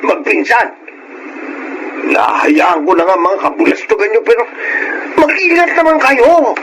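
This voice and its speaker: Radio drama casting: older Filipino dad, crafty and wheedling, slightly high voice, noisy recording. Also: male voice, sing-song, measured pace, vocal fry, heavily acted. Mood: scolding, anxious. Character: money-minded elderly Filipino father, wheedling, scheming.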